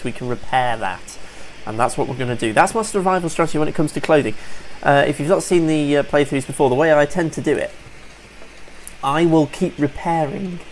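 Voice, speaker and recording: A man's voice: mid-range voice, slight background noise, poor audio. A man giving casual, upbeat commentary over a survival game, a bit sniffly from allergies and chatting to viewers as folks. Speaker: let's play gamer.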